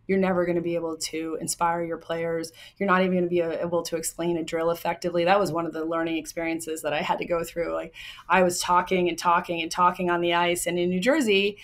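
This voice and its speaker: A young woman hockey coach with a soft voice, answering interview questions in an earnest, explanatory way with many ums and likes. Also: on the low side.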